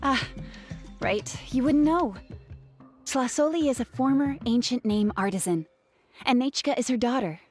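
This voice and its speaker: A woman playing an earnest, grateful gem artisan in a video game, telling things in a soft-hearted way. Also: on the high side, unhurried, long pauses, faint music behind. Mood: warm, reflective.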